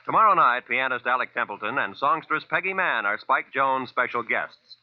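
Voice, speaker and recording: A man's voice: medium pitch, lively intonation, normal speed, no room sound. An American man announcing for network radio in a polished, formal, scripted 1940s style. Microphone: dry studio broadcast mic. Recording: muffled vintage radio recording, faint music.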